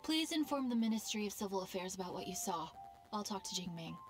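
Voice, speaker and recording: A woman voicing a kind lantern maker in a game cutscene, gentle and reassuring, with game music behind. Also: mid-range voice, lively intonation, fast talker, close to an everyday voice.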